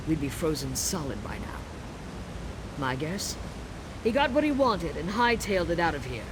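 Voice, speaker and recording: A stern orc soldier from a fantasy game. His voice sits a little high and moves with lively inflection as he gives grave briefings. There is a clear rumbling noise behind him. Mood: grim, wry. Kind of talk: video game character dialogue.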